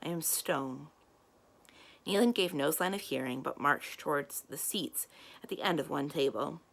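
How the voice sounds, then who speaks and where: A woman reading a children's novel aloud as a storyteller, expressive and giving the characters their own lines. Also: low-pitched, moderate pace, gravelly.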